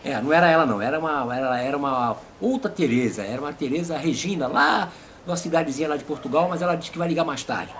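A Brazilian man in his fifties with a fairly high voice hosts a casual comedy interview. He chats informally, with faint noise in the background. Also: expressive, fast talker, a bit bright. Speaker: host and puppeteer.

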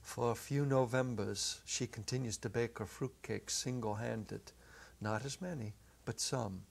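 Male narrator, middle-aged, gravelly edge, expressive literary storytelling. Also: medium pitch, measured pace. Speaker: narrator, dramatic reader. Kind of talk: dramatic story reading. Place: small indoor set. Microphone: lapel.

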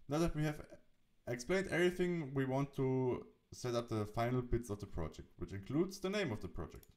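A man presenting a software tutorial, narrating the setup step by step. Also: on the low side.

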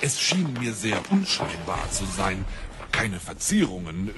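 A man playing a smooth-talking, servile trader in a fantasy audio drama, with hesitant, ingratiating salesman patter. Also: low voice, sing-song, measured pace, very noisy, full character voice. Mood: deferential.

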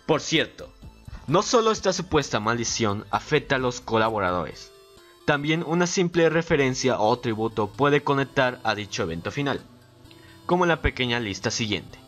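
A male guest narrator with a mid-pitched voice reads scripted commentary for an online video. Music plays faintly behind him. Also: expressive, moderate pace, a bit bright. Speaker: guest collaborator on a YouTube video.

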